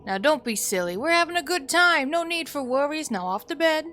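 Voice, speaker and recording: A woman's voice: high voice, expressive, fairly quick, pushed and bright, voice pushed up. A woman voicing a dismissive grown-up in a visual novel, condescending and falsely cheerful to a child.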